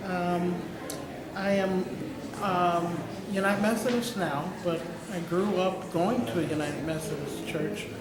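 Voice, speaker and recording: A woman in her sixties from Milwaukee, a pre-K teacher, introducing herself haltingly with many ums. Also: on the low side, fairly even intonation. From Milwaukee, Wisconsin. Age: 60s. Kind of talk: self-introduction to camera. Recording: roomy hall with crowd noise behind.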